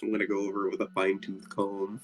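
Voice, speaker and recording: A man playing a wary, mild-mannered adventurer in casual tabletop roleplay, talking in a hesitant, halting way. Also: fairly low pitch, completely flat delivery.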